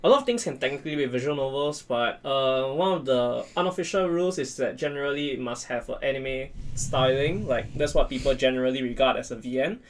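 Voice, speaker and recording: A man with a mid-pitched voice talks as a guest on a casual talk show. He explains things in a halting way, doubling back on words as he goes. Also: a little hiss or hum.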